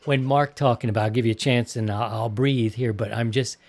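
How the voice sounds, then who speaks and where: A man's voice: mid-range voice, steady tone, a little fast, darkish tone. A man hosting a podcast in casual chat, talking in an enthusiastic, rambling way. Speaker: podcast host.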